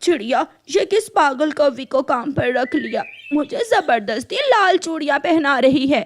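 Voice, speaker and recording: A woman's voice: sits high, lively intonation, measured pace. A woman voicing a stubborn, fussy female pigeon with an insistent, complaining manner in a cartoon. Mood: alarmed complaining.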